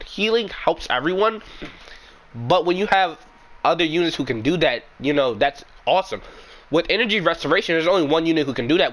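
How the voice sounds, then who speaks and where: A young man with a sick, raspy voice, giving frank, rambling opinions as a gaming YouTuber in casual commentary. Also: on the high side, fairly bright tone, rough recording.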